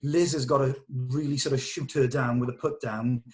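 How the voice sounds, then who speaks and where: A man leads a relaxed script discussion at a table read. He explains things conversationally and hands out direction in an ordinary mid-pitched male voice. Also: normal speed. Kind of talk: casual script discussion with actors.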